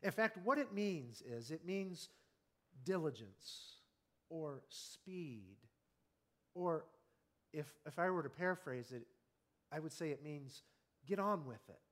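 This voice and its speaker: A man in his fifties preaching an evening sermon, earnest and conversational, often asking the congregation questions and slowing down on key words. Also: fairly high pitch, expressive, a bit bright. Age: 50s. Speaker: pastor.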